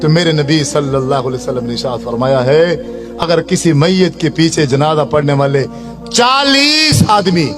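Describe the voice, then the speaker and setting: High-pitched middle-aged man preaching. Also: expressive, moderate pace, music in the background. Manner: exhorting, repetitive, rhetorical. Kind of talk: impassioned sermon with recited prayers.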